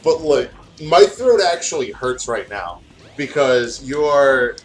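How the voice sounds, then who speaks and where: A man talks casually with animated, swinging intonation over game music. He is self-deprecating and sweary, moving between loose chat and reading aloud like a friend on a playthrough. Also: medium pitch, slow. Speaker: guest reading fan fiction aloud.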